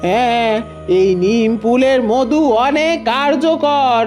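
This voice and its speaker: A woman's voice: sits high, slow pace, over loud music. A woman voicing a curt, sinister old village herbalist in a fairy-tale cartoon, brusque and commanding. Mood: sly, commanding.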